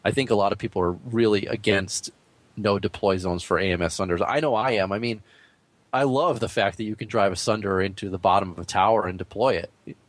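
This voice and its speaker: A man's voice: fairly low pitch, brisk, no echo. A man co-hosting a gaming podcast, running through news items in a casual, conversational way. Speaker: podcast co-host. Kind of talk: casual news rundown and discussion.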